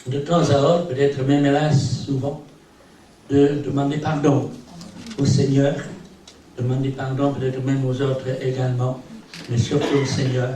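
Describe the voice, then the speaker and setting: An older man whose first language is English, preaching in a rambling style full of little asides and gentle self-mockery. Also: mid-range voice, level tone, measured pace, distant mic. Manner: rambling, peppered with "eh bien" and "hein". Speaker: visiting preacher. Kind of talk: sermon. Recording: very echoey hall from afar, faint hiss.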